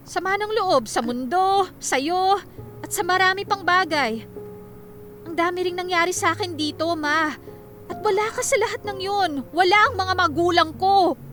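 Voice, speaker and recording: High, bright, resentful teenage daughter. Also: female voice, moderate pace, faint music behind. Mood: resentful, weary. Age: young adult. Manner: curt, exasperated. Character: cold, resentful 19-year-old daughter. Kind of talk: radio drama acting.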